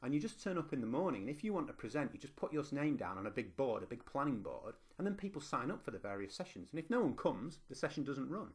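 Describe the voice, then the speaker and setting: A man's voice: mid-range voice, big pitch swings, quick pace, hardly any pauses, slightly rough. Northern English man presenting to teachers. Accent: Lancashire. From northwest England. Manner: conversational, self-deprecating, frequent ums and restarts. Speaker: university lecturer and teacher. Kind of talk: online conference presentation.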